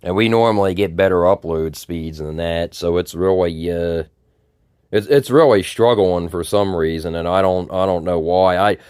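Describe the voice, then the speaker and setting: A deep-voiced man, a little raspy just after waking, chats loosely and repeats himself as he fills the gaps. His tone stays smooth and resonant. The sound is close and dry, with no room echo. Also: normal speed, a little mellow. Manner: rambling, many fillers and repeated words. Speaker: tech vlogger. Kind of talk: casual screen-recorded commentary.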